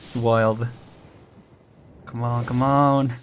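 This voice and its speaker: A man's voice: medium pitch, flat delivery, very slow, a little hiss or hum. A young man casually commenting while streaming a game, chatty and laughing often, counting down as he plays. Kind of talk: casual live gameplay commentary.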